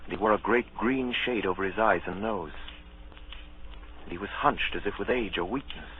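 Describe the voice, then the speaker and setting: Man acting a deep-voiced, grave storyteller. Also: moderate pace, narrow, tinny audio, close to an everyday voice. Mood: grave, suspenseful. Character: grave storyteller recalling his boyhood adventure. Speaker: narrator. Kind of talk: 1930s radio drama narration. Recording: thin, noisy old radio recording.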